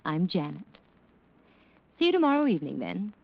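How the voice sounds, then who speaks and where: Scheming wife, swooping delivery. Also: female voice, lowish voice, normal speed, creaky, noisy background, exaggerated voice. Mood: inviting, flirtatious. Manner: coaxing, sugary, expressive. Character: smooth, scheming, flirtatious wealthy wife. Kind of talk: 1940s radio acting. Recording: dull old radio recording.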